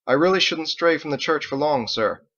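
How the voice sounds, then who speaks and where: A man's voice: on the high side, normal speed, a bit bright, highs cut off, voice pushed up, close to an everyday voice. A man reading the part of a dour grown son, terse, restrained and formally polite, in an audiobook. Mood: stiff.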